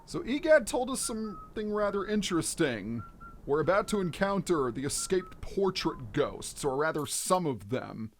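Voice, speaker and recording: A man hosting a let's play, explaining the game in an expressive, instructional way. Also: high voice, moderate pace, a little hiss or hum.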